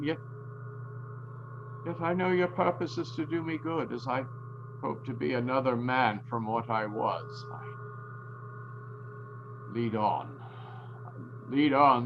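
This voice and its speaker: A frightened, repentant old miser played by a man in an audio drama, on a dull recording with a faint hum. The voice sits rather high and moves slowly, halting and pleading anxiously. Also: close to an everyday voice.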